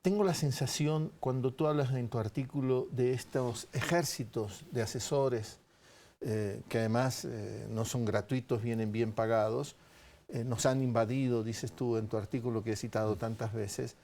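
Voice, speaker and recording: A man hosting a conversational television interview in a measured, courteous manner with hesitant phrasing. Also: mid-range voice, fairly even intonation, dark tone.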